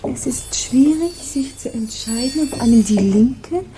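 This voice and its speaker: A woman playing a courtly, flattering princess in a radio drama, speaking in ornate, ceremonious and effusively polite phrases. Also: a little high, measured pace, background noise. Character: courtly, flattering princess visiting a pirate ship. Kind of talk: German radio drama acting.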